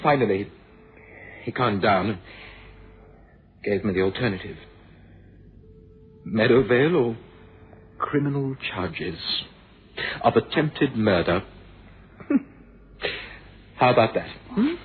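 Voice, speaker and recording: An actor playing an earnest, wounded young man in a suspense radio drama, speaking haltingly and repeating words. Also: on the low side, sing-song. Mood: bitter. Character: troubled, wounded young man with violent past. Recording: noisy phone-like vintage radio recording.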